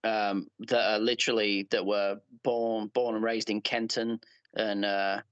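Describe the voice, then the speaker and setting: An English man from Leeds chatting as a guest, earnest and halting with many fillers and restarts. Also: lowish voice, little pitch movement, fairly bright tone, clear, resonant tone, no room sound, muffled recording. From Leeds, England. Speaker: guest player. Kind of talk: casual chat.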